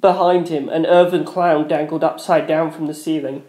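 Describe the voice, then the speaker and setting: A man's voice: a little high, fairly even intonation, moderate pace, mellow. A man reading a first-person story aloud as a dramatic narrator. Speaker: audiobook-style narrator. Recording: roomy echo.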